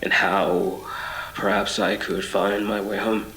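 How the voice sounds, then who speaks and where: An actor playing a haunted, obsessive traveler between twisted worlds in a horror audio drama, speaking in a measured, brooding, literary way with halts. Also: male voice, lowish voice, level tone, fairly bright tone. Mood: grave, confiding. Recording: reverberant voice over music. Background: music.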